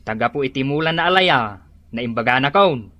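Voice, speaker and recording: A man's voice: mid-range voice, expressive, fairly quick, pushed and bright, dry recording. A man playing a sickly village husband. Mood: grumbling.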